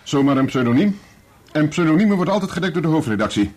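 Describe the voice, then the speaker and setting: A dismissive chief editor in a radio drama. He talks fast in a fairly high voice with lively swings of intonation. His manner is curt and aloof. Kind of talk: Dutch radio play acting.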